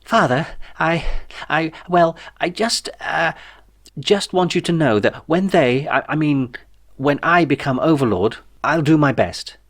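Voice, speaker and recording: A man voicing a timid, dim, eager young son of an evil overlord, earnest and halting. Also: fairly high pitch, a bit bright, pitched above the performer's own voice. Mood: nervous, earnest.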